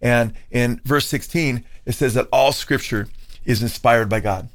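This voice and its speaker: A man's voice: mid-range voice, unhurried. A man co-hosting a casual discussion, talking loosely and quoting scripture with Greek words. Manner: conversational, quotes scripture with Greek terms. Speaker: co-host, pastor. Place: California.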